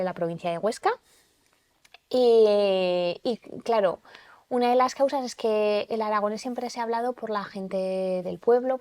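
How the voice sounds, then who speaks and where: A woman's voice: on the high side, a little mellow. A woman in her late thirties from Zaragoza, Spain, a podcast guest explaining her interest in her region's language in an earnest, chatty way. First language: Spanish. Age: 30s. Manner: earnest, explanatory, frequent "pues" fillers. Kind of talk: casual interview chat.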